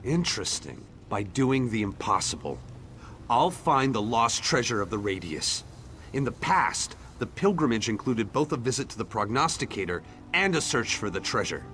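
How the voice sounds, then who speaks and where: A man's voice: medium pitch, very animated, moderate pace, sharp tone, slight background noise. A man voicing a brash, determined young treasure seeker in a fantasy video game, eager and self-assured. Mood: defiant. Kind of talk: AI-voiced fantasy game dialogue. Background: game music.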